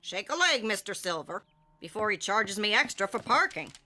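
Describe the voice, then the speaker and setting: A woman's voice: mid-range voice, very animated, normal speed, sharp tone. A woman playing a weary, sardonic schoolteacher in a cartoon, with a dry, mock-polite, theatrical delivery. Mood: weary, exasperated. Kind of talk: animated cartoon acting. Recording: music behind the voice.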